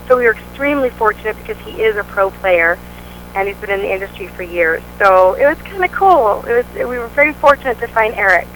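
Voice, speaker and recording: Woman guest, bright tone, upbeat and chatty, faint background hiss. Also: mid-range voice, lively intonation, fairly quick, poor audio. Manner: upbeat, chatty, many fillers. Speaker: band vocalist, interview guest. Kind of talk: casual interview answers.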